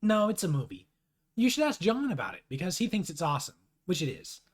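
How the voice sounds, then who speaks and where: A man's voice: high voice, huge pitch swings, a little fast, slightly rough, voice pushed up, heavily acted. A man voicing a playful, casual teenage boy from a webcomic, sarcastic and rambling. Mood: casual, teasing.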